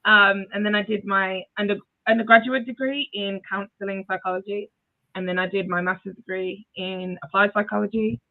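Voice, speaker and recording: A woman's voice: medium pitch, steady tone, moderate pace. A young woman from Zimbabwe, a guest on a video-call interview, who talks hesitantly with many fillers and laughs often. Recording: tinny phone-like audio.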